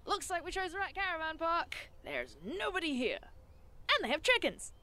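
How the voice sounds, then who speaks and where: A woman's voice: very high voice, sing-song, moderate pace, bright, forceful tone, exaggerated voice. A woman playing a skeptical, teasing alien believer in an audio drama, argumentative and wry.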